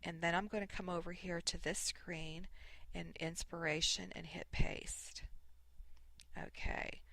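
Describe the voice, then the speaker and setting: A sniffly woman hosting a casual, unscripted craft software tutorial, thinking aloud in short, halting steps. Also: lowish voice, vocal fry, dry recording. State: sniffly from allergies. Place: voice-over recorded indoors at a computer.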